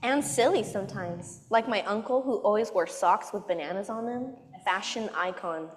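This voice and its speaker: A woman's voice: mid-range voice, moderate pace, natural voice. A woman voicing a silly, affectionate puppet with a playful manner in a church children's puppet show. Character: silly, affectionate puppet who jokes about family.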